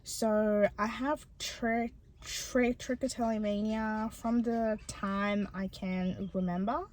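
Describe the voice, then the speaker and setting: A young woman from Bangladesh who stutters, talking personally to her viewers with many pauses and ums. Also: medium pitch, fairly even intonation, a little mellow. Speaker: channel host. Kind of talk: personal vlog talk to camera.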